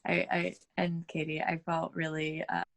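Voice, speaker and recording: A woman's voice: low-pitched, little pitch movement, normal speed, creaky. A woman textile artist and dancer chatting on a video call, enthusiastic and full of fillers. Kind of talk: casual video call chat.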